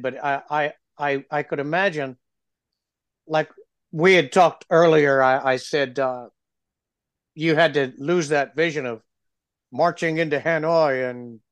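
A man's voice: a little high, fairly bright tone, dry recording. Stuffy-voiced male podcast host. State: stuffy, froggy from cold medicine. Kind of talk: casual interview chat.

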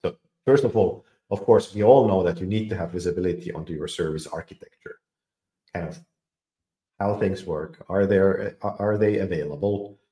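Low-voiced guy rambling casually about tech, lots of ums and restarts, echoey room. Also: moderate pace, dark tone. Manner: hesitant, many fillers and repeated words. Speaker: podcast host. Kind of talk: casual technical discussion.

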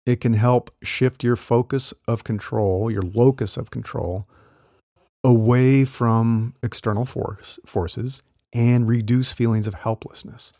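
Gravelly-voiced man coaching on careers. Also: mid-range voice, steady tone, slow, mellow, no room sound, studio quality. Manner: conversational, explanatory, light laughs. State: losing his voice. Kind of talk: solo podcast talk.

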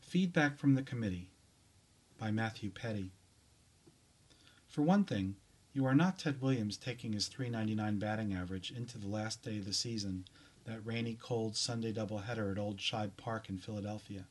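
A man's voice: fairly low pitch, normal speed. A man reading his own short story, a scripted literary piece addressed to the listener. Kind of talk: scripted literary narration, second-person address.

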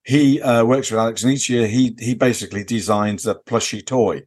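A man's voice: mid-range voice, fairly even intonation, normal speed, a little mellow. A man chatting casually as a podcast co-host, hesitant and rambling as he recounts things, leaning on filler phrases. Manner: hesitant, rambling delivery full of "you know" and "kind of". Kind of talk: casual off-the-record chat, recounting a convention visit.

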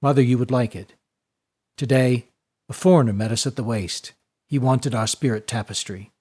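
A man voicing a gruff, stubborn fisherman of few words in an audiobook, curt and low-key. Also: normal speed, a little mellow, lightly acted. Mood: subdued.

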